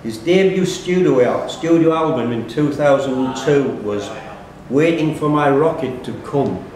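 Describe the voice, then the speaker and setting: A man's voice: fairly high pitch, moderate pace, darkish tone. Echoey man reading pub quiz questions. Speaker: quiz master. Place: pub quiz room, indoors. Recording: very echoey pub room, distant mic, faint noise.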